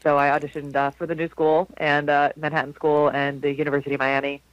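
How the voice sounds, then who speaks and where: A man in his forties with a slightly husky voice, pitched a bit higher than most men, tells meandering stories as a guest in a casual interview. He restarts his sentences often. The sound is dry and close, with no room around it. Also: level tone, fast, dry recording, dull audio. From South Florida, USA. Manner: rambling, warm anecdotes with frequent restarts and laughs. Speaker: jazz singer, guest.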